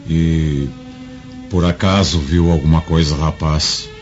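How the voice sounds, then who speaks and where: An actor playing an imperious, suspicious Roman senator in a radio drama, interrogating in a commanding tone, recorded muffled with music behind. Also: male voice, a bass voice, fairly even intonation, takes it slowly. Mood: stern, suspicious. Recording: muffled audio under background music.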